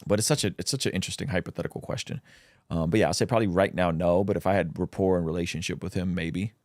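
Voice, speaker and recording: A man's voice: low voice, fairly even intonation, fast, a little mellow, no echo, clean studio sound. A man, a native Russian speaker, hosting a casual livestream where he reads out chat questions and answers them with plenty of ums.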